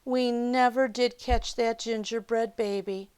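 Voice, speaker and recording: A woman's voice: a little high, steady tone, a little slow, a little mellow, clear, resonant tone, pitched above the performer's own voice, natural voice. A woman voicing a kindly father in a children's storybook, with an exclaiming, storytelling tone. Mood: exclaiming, resigned. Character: kindly storybook father talking to his family.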